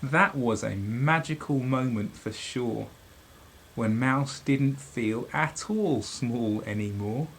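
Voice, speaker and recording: Bloke, middle aged, reading a kids book real slow, bit husky, dramatic pauses. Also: male voice, mid-range voice. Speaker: headmaster reading a storybook. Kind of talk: expressive children's storybook narration.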